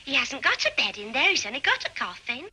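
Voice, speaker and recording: A woman's voice: sits high, very animated, fast talker, bright, forceful tone, breathy edge, heavily acted. A woman playing an insistent comic character in an old comedy film, talking emphatically.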